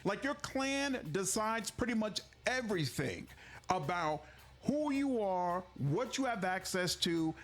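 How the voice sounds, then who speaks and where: Higher-voiced man, gaming creator, exasperated and explanatory, casual talk. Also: big pitch swings, takes it slowly. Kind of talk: casual news commentary.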